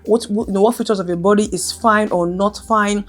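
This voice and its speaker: A woman's voice: medium pitch, fairly even intonation, fairly quick. A young adult woman vlogging casually to camera, earnest and emphatic, who speaks with a stutter and repeats her key phrases.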